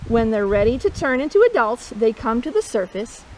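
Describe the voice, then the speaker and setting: Expressive high-voiced woman reading to kids. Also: moderate pace, a little hiss or hum, poor audio. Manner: expressive, playful, asks listeners questions, imitates animal sounds. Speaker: storytime host, nature educator. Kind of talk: read-aloud children's nature storytime with asides.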